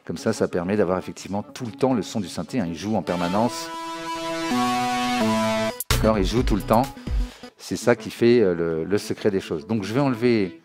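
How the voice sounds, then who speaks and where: A man giving an informal spoken tutorial as a music software trainer, explaining as he demonstrates and often checking that listeners follow. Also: fairly low pitch, moderate pace, long pauses. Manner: conversational, checks understanding often with short tag questions. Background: music and synth demo sounds.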